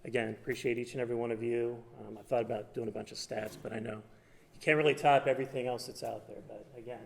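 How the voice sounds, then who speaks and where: A man from a food pantry giving an unplanned thank-you speech, halting and restarting as he goes. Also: mid-range voice, steady tone, creaky, a little hiss or hum. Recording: reverberant hall.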